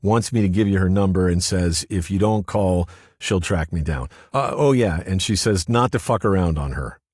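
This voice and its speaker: A man voicing a blunt, hot-tempered male marshal in an audiobook, husky and dry. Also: deep voice, normal speed, darkish tone, dry recording, pitched above the performer's own voice. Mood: businesslike.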